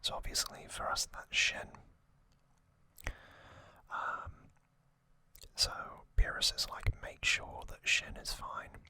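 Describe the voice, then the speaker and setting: An ASMR host whispering in a breathy, unvoiced voice, giving an informal recap in short, halting phrases. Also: sharp tone.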